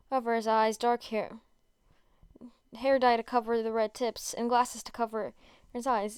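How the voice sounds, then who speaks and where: A woman's voice: fairly high pitch, smooth, dry recording, clean studio sound. A woman narrating a story as an amateur reader, often stumbling and rereading words. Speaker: podfic narrator.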